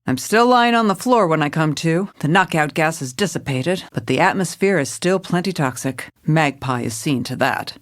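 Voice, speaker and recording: A woman's voice: sits low, animated, normal speed, hardly any pauses. An actress playing a hard-boiled, weary female police commissioner in a noir audio drama, with a wry, clipped delivery. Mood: tense narration. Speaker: narrator and lead character.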